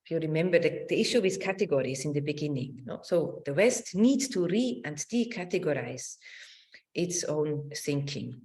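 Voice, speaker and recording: A woman's voice: low-pitched, animated, darkish tone. A middle-aged woman giving an academic lecture, thoughtful in phrasing and partly reading from notes. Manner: halting, searching delivery with repeated words and tag "no?".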